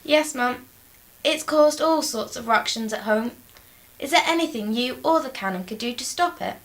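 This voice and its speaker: An actress playing a polite, worried young housemaid in a 1930s radio drama. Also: female voice, a little high, lively intonation, moderate pace. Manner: polite, deferential.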